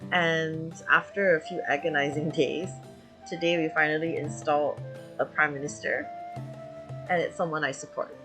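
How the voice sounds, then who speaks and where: A woman from Malaysia chatting casually with viewers as a live streamer, in an informal, giggly, self-deprecating way. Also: sits low. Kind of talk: casual livestream chat with viewers.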